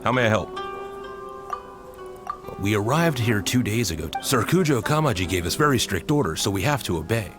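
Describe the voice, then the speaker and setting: Polite, animated lowish-voiced soldier. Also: male voice, normal speed, pauses often, over music. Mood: polite, apologetic. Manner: formal, polite. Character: apologetic, rule-bound male soldier. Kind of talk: English game dub.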